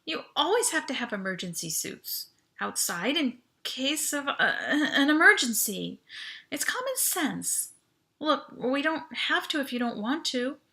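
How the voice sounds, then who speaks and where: A woman voicing an awkward, earnest, lovestruck teenage boy in a sci-fi storybook, halting and self-conscious. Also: sits high, very animated, vocal fry, bright, forceful tone, voice pushed up, full character voice. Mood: flustered, reassuring.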